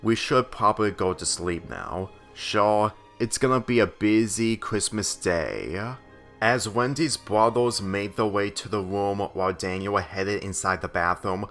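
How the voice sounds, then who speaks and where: Low-voiced man narrating over music. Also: fairly bright tone. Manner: halting, often stumbling and rereading phrases. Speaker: fan fiction reader. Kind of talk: narrated story reading. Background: background music.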